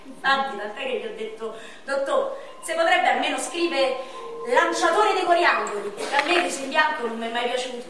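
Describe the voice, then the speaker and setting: A woman voicing a frail, very thin young man who talks softly and jokes about himself, in a stage drama played on radio. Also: high-pitched, animated, fairly quick, distant mic, slight background noise. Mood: wry. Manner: soft-spoken, joking self-mockery. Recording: very echoey stage heard from afar.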